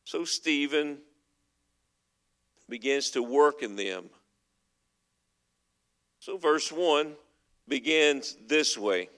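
A man's voice: on the high side, expressive, drawn-out words, long pauses, fairly bright tone. A man preaching a sermon as pastor, earnest and emphatic as he works through scripture. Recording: long hall reverb.

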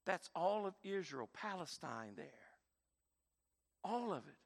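A man, preaching a sermon with dynamic emphasis and quiet, intense stretches. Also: high voice, sing-song, a little slow. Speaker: pastor.

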